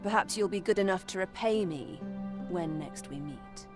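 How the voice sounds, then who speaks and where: A woman's voice: lowish voice, normal speed, over loud music, close to an everyday voice. An actress playing a sly, self-assured noble lady in a fantasy game, archly polite and teasing. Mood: cool, sly. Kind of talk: scripted fantasy game acting.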